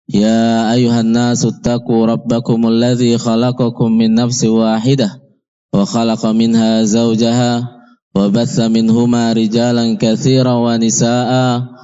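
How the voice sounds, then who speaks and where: A man opening a sermon with a formal Arabic recitation, delivered in a steady, measured way. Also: medium pitch, smooth, muffled recording.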